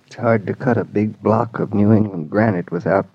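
An actor playing an easygoing, gently wry young husband in 1940s radio acting style. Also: male voice, lowish voice, fairly even intonation, moderate pace, breathy edge. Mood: wry easy. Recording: dull 1940s radio recording.

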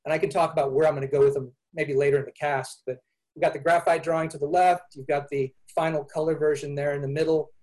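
A man's voice: fairly high pitch, level tone, fast talker, darkish tone, rough recording. A man, an illustrator, talking through his drawings in a rambling way with many restarts. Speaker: illustrator guest. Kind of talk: panel discussion on a call.

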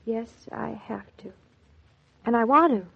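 A woman's voice: a little high, unhurried, creaky, husky, heavily acted. A woman acting an anxious, inquisitive, tender young newlywed wife in a 1940s radio drama. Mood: earnest, moved. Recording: dull vintage 1940s radio recording.

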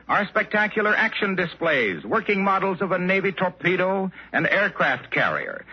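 A man's voice: high-pitched, breathy edge. A man with an American accent reading scripted announcements and sponsor copy in 1950s radio announcing style. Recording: muffled 1950s radio broadcast, some music.

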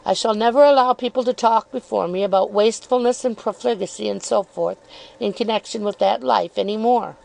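A woman's voice: mid-range voice, a little fast, creaky, a little mellow, poor audio. A woman reading an audiobook, voicing a sly young woman who hints and needles with arch, probing questions. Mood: insinuating.

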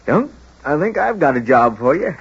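Folksy small-town doctor, husky and expressive. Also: male voice, fairly high pitch, exaggerated voice. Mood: concerned, resigned. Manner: folksy, hesitant, musing, with wells and pauses. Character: kindly, folksy small-town family doctor, gently moral. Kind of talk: old-time radio drama acting. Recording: dull old radio broadcast recording.

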